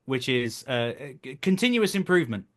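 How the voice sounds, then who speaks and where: A man in his thirties talks in a relaxed interview format, with a mid-pitched voice. He explains his coaching work earnestly and slips into quoting imagined speakers to illustrate. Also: normal speed. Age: 30s. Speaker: voice actor, director and coach. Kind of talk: conversational interview.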